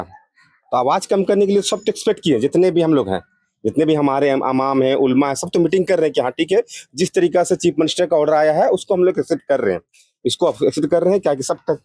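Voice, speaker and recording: A man gives his opinion as an interviewed local resident, talking quickly in a soft-edged, mellow voice. He is earnest and repeats his points for emphasis, and the sound is dry and close. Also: a little high, animated, no room sound. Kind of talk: street interview, opinion statement.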